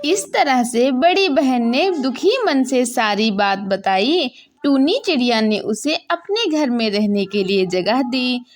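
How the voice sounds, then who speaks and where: Slow, lilting woman storyteller. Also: fairly high pitch, animated, soft background music. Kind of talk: scripted children's story narration.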